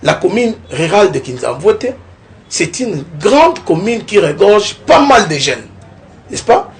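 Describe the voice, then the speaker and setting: A young man from Congo's Kongo Central region makes his case on a television interview set. His voice sits a little high and swings up sharply when he makes a point, and he keeps checking in with the listener. A faint murmur of ambience stays under him. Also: unhurried, slight background noise. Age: young adult. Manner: animated, keeps adding "n'est-ce pas?", rising tone on points. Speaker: political candidate, guest.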